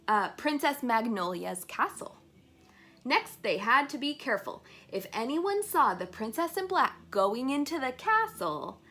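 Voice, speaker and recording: A woman reads a children's story aloud in a bright, highly animated voice. She takes her time and speaks expressively, stopping to put questions to her young listeners. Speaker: children's book reader.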